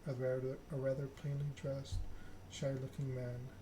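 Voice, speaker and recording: A man softly reading a story game aloud in a hushed, measured ASMR narration. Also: mid-range voice, level tone. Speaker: video game let's-player reading the game aloud. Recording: clear background noise.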